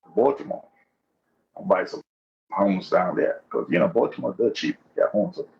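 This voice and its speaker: A man's voice: sits low, normal speed, gravelly. A man from Trinidad giving a casual, slangy, chatty account as a guest caller. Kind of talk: casual account of personal experience. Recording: dull, lo-fi call audio.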